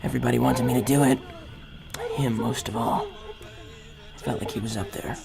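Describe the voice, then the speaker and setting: A gravelly, creaky-voiced army captain played by a man, brooding and cynical, narrates his mission in a grave, hard-boiled radio drama style. Music plays clearly behind him. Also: medium pitch, fairly even intonation, brisk. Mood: dark resolve. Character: brooding, war-weary male army captain, haunted and cynical. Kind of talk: scripted first-person radio drama narration.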